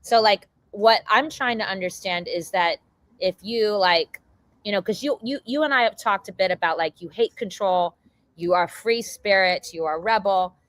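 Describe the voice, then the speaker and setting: Bright-voiced woman hosting, rambling. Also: medium pitch, normal speed, no room sound, low-quality recording. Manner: rambling, filler-heavy, many likes. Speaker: interviewer host. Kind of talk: casual interview chat.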